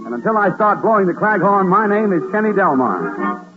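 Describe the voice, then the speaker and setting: Male 1940s show announcer, formal and very lively, narrow vintage broadcast sound. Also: high-pitched, moderate pace. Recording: tinny 1940s radio recording. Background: loud music.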